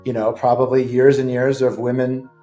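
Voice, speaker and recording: A man of middle pitch hosts a casual discussion, speaking firmly and emphatically. He hammers his points by repeating them. Faint music plays softly beneath his voice. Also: level tone, normal speed, reverberant, highs cut off. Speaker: host, father in custody case.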